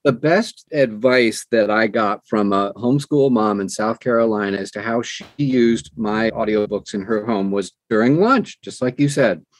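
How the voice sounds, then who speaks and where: A man's voice: fairly low pitch, moderate pace, few pauses, no echo. An older man, an audiobook narrator, talking conversationally as an interview guest and telling anecdotes.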